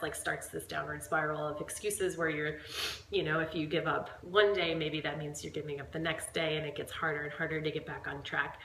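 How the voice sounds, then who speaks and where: A woman with a head cold talks through workout advice in a rambling, filler-heavy way. Her voice sits low but sounds bright and forceful, with a stuffed-up edge. She is recorded in an echoey room with faint noise behind her. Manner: chatty, rambling, many fillers. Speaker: fitness coach. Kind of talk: casual talk to camera. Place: at home.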